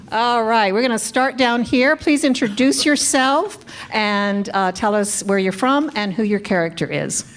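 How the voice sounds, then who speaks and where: A woman's voice: on the high side, takes it slowly. A woman hosting a convention panel as parade coordinator, cheerful and chatty, laughing often. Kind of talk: upbeat live-stream hosting. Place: convention event room.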